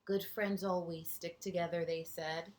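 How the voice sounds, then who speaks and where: A woman teacher reading a picture book aloud to young children, gently pausing for chatty asides and questions to her listeners. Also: lowish voice, little pitch movement.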